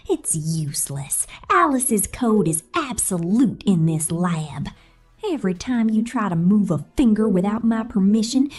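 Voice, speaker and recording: Casting: a woman playing a cruel Southern tinkerer in ASMR, breathy whisper, lively teasing lilt, faint music behind. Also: mid-range voice. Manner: whispered, teasing, calls the listener sugar. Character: sadistic, cool-headed woman inventor and robot tinkerer. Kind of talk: ASMR roleplay.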